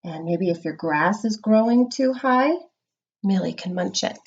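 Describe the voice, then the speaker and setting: A woman teacher giving a read-aloud lesson to young children, explaining simply and reading with expressive emphasis. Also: mid-range voice, unhurried, dull audio. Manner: instructional, simple explanations with check-ins. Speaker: primary school reading teacher.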